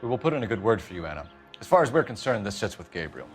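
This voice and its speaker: A man's voice: on the low side, very animated. A man playing a hard-nosed police detective in a crime game, pressing his point sternly. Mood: reassuring. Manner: stern, pressuring, deliberate emphasis. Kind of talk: dramatic game acting. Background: background music.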